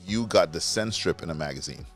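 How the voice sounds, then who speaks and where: A man from Trinidad with a very deep, low voice talks in a relaxed interview. He speaks with animated enthusiasm and sometimes searches for his words. Faint music plays under him. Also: fairly quick. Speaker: interview guest, fragrance reviewer. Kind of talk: conversational interview.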